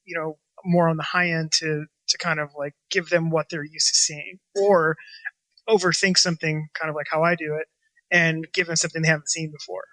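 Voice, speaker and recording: A man with a bright, slightly high voice talks about his restaurant in a loose, rambling way. He leans on fillers and restarts and rarely finishes a thought cleanly. The sound is close and dry, with almost no room echo. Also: level tone, a little fast. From Washington State, USA. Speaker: chef and restaurant owner. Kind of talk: casual interview talk.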